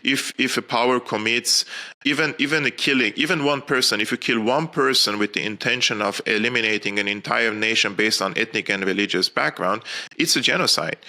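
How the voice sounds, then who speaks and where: A man's voice: medium pitch, bright, forceful tone. A man born and raised in Syria, a podcast guest giving long, earnest answers with frequent restarts. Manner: earnest, explanatory, many fillers and restarts. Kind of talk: interview answers.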